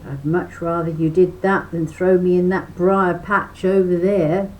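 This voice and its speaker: Storybook part: a shrill, cheeky trickster rabbit who hollers, read aloud by an older woman in a squeaky raised voice. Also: little pitch movement, measured pace, a little mellow, close to an everyday voice, poor audio. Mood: sly. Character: cheeky, hot-tempered male trickster rabbit.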